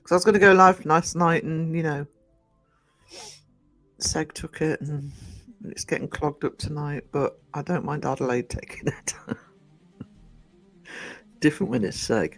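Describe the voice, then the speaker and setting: A croaky man hosts a casual live stream from home, rambling along with plenty of ums and greeting viewers by name. His voice sits a little higher than most men's. Soft music plays faintly behind him. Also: steady tone, unhurried, pauses often. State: croaky from just waking up. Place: indoors at home. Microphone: desk microphone.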